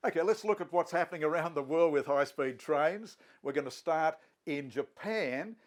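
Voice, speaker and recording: A man hosts a panel chat and prods his guests with lively questions. His voice sits a little higher than most men's. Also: moderate pace. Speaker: host.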